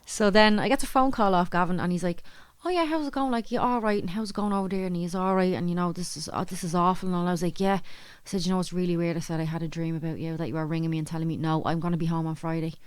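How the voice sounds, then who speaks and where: She is an Irish woman from the Dublin side of things by her accent, telling stories in loose, colloquial chat. Her voice sits a bit low and is very clean and smooth. The sound is dry, with hardly any room in it. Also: steady tone, racing, hardly any pauses, no room sound. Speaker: podcast speaker telling personal stories. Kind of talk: casual chat, anecdotes.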